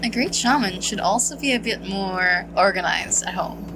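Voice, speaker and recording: A woman's voice: medium pitch, moderate pace. A woman doing casual, playful gameplay commentary, reacting and reading lines aloud. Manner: giggly, playful. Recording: noticeable background noise.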